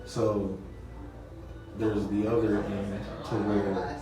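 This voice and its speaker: A man's voice: fairly low pitch, little pitch movement, takes it slowly. A man talking about his music production work in a casual interview, laid-back and repeating "you know what I'm saying.". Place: loud party venue. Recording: echoey, distant mic, crowd behind.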